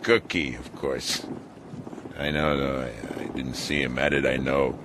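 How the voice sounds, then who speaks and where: Gruff weary sea captain in a radio play, super deep voice, halting, sardonic, noisy boat sounds behind. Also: male voice, little pitch movement. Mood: wry, weary. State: weary and in pain from headaches. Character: gruff, ailing, fatalistic male sea captain marooned on his ship. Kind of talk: radio drama acting.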